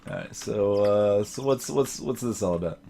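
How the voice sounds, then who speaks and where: A man's voice: on the low side, moderate pace, mellow. A man streaming a video game, casually commentating and reading dialogue aloud in a rambling, dryly joking way. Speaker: gaming streamer. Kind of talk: let's play commentary with dialogue read aloud. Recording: clear background noise.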